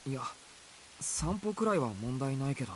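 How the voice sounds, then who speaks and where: A man plays a frail, polite young man from a Japanese visual novel. He speaks softly and quickly at a middle pitch, in a reassuring way. The game audio is quiet, with hiss behind it. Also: animated, lightly acted. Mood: mild.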